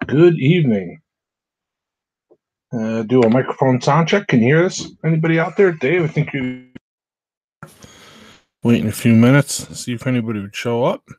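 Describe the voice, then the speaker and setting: A man's voice: mid-range voice, expressive, normal speed, pauses often, darkish tone, slight background noise, low-quality recording. A man chatting casually and informally as the host of a live stream of classic radio shows.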